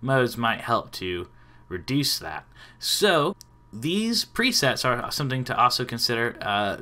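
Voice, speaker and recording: Bright-voiced man teaching software. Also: lowish voice. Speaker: tutorial host. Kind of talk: casual software tutorial.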